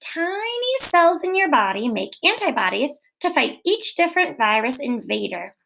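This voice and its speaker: A woman teacher reading a children's book aloud in a gentle, clear, expressive way, posing questions to young listeners. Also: sits high, normal speed, low-quality recording. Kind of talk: read-aloud storytelling for children.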